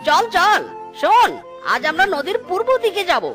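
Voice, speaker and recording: A woman's voice: extremely high pitch, big pitch swings, normal speed, over music. A woman voicing a lazy, good-humoured fox husband in a children's cartoon, talking in a teasing, colloquial way. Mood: jovial. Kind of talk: animated children's cartoon dialogue.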